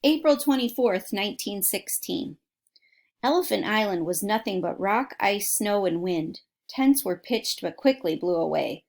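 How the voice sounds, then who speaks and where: A woman's voice: mid-range voice, lively intonation, unhurried, vocal fry, darkish tone. A woman reading a children's nonfiction story aloud like a teacher, with dramatic emphasis and direct asides to her listeners. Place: at home.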